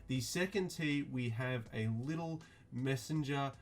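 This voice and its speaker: A man talking casually to his viewers, reviewing a clothing release in a flat, mildly disappointed way with lots of ums and restarts. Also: mid-range voice, a little slow. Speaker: streetwear vlogger.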